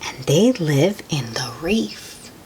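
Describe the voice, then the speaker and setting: A woman reads aloud to young children at a very slow, deliberate pace. Her slightly low voice moves with lively intonation as she comments simply and invites the child to look and wonder. Faint noise sits behind her. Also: rough recording. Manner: child-directed, asks the listener questions, exclaims in wonder. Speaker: host reading picture books aloud to children.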